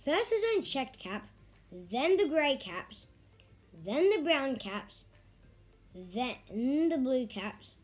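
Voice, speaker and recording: A woman reading a children's story aloud in an expressive, theatrical way with drawn-out phrasing. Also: sits high, faint music behind. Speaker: storybook reader.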